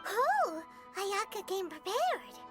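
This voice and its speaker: A woman's voice: extremely high pitch, sing-song, slow pace, a bit bright, heavily acted. A woman voicing a tiny, perky, childlike fairy companion in a video game, chirpy and eager. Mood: curious. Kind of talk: video game character acting. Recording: children's jingle music behind.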